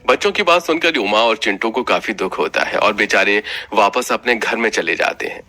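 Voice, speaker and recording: Male narrator, scripted storytelling, lowish pitch, bright forceful tone. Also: expressive, moderate pace, a little hiss or hum.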